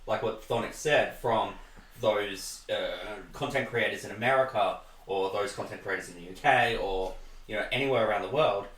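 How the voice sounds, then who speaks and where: Echoey, low-voiced Australian man. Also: normal speed, a bit bright, far from the mic, slight background noise. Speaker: interview host and voice actor. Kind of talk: casual interview chat. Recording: echoey room, off the mic.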